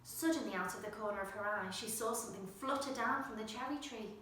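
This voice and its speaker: A woman reading a children's storybook with expressive narration, putting emphasis on the action words. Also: medium pitch, quick pace, a little hiss or hum. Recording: very echoey room, distant microphone.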